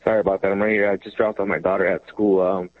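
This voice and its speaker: A man's voice: deep voice, level tone, fast, no echo. Male caller in his 30s from Mexico, phoning from a car, tinny phone line, hesitant casual rambling. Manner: rambling, hesitant, lots of ums, laughs easily. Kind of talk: casual call-in chat.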